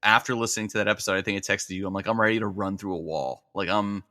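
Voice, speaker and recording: A man's voice: on the low side, steady tone, fast talker, sharp tone. A man speaking casually as a guest on a podcast.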